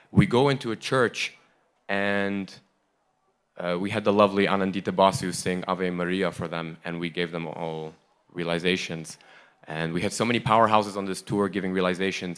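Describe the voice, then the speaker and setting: A man's voice: deep voice, a bit bright. A man telling an audience about a tour, in a chatty, rambling and enthusiastic way, speaking on stage into a handheld mic. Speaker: tour member giving a report on stage. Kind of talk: casual on-stage talk to a live audience. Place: large hall at a festival, on stage.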